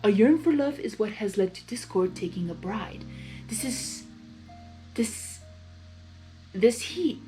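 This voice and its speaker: A wise female zebra, put on by a woman narrator, cryptic and calm. The lines come in measured, solemn rhyme at a middling pitch for a woman. Music plays faintly underneath. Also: poor audio. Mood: knowing.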